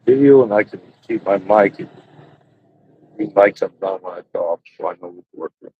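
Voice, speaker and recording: A man with a deep, raspy voice hosting a live chat room, chatting casually to himself while he waits for people on a small external mic. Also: normal speed, highs cut off. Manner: rambling, talks to himself while waiting.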